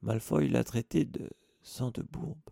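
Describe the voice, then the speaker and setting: Male narrator voicing a hoarse, indignant sick schoolboy wizard, dry close read. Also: mid-range voice, a little slow, dark tone, no echo, pitched above the performer's own voice.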